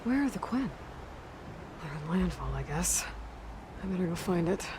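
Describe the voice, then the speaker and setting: A woman's voice: lowish voice, big pitch swings, normal speed, noisy background. A woman playing a determined young warrior explorer in a video game cutscene, speaking plainly and purposefully over music. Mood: musing. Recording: loud game music underneath.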